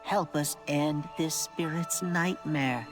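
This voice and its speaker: A solemn forest guardian in a fantasy game, speaks calmly and seriously. Her voice is low and slow, with clear music behind it. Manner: measured, serious.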